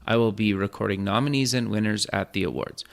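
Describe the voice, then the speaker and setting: A man's voice: on the low side, fast talker, vocal fry. A man hosting a radio and TV interview show, reading a scripted intro and then asking questions in an enthusiastic, hedging way. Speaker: host.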